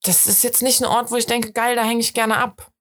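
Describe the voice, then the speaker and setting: A woman's voice: a little high, a little fast. A woman from the Rhineland with a Rhineland German accent, chatting casually as a podcast co-host and acting out her own quotes as she tells stories. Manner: animated storyteller, acts out her own quotes. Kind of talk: casual chat.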